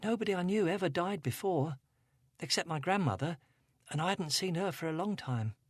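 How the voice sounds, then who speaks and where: A man voicing a grieving teenage girl from a storybook, sulky and guarded under a sweet streak. The voice sits high above his own and swings about in pitch, soft and a touch breathy, on a dry recording. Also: lively intonation, moderate pace, dry recording. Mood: upset.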